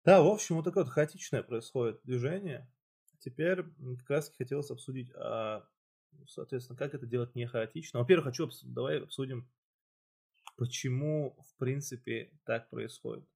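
A man's voice: medium pitch, moderate pace, gravelly, darkish tone, slightly rough. A man hosting a casual chat, explaining at length with loose phrasing and fillers. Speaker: podcast host.

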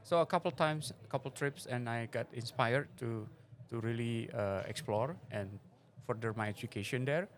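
A man's voice: medium pitch, normal speed, slight background noise. Middle-aged man, hesitant guest. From Indonesia. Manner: hesitant, many fillers, non-native phrasing. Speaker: guest, venture investor. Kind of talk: interview answers. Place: conference forum venue.

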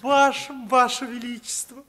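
An actor playing a fussy, cooing and servile royal courtier in an old radio drama. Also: male voice, very high voice, flat delivery, unhurried, a bit bright. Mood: servile, eager. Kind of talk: Soviet 1950s radio play acting.

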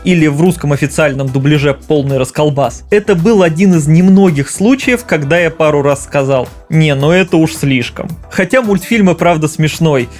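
Casting: man, fairly high voice, film critic reading a video essay with conversational asides, light music behind. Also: normal speed, few pauses, darkish tone. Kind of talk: scripted commentary with conversational asides.

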